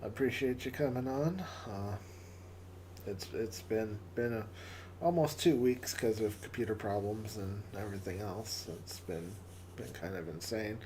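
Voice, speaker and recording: A man with a raspy, hoarse voice from days of coughing, talking in a low, mellow tone. He speaks slowly and haltingly, stumbling over and repeating his words as he hosts a casual live stream. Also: slight background noise. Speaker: livestream host. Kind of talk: casual live-stream chat with a closing prayer.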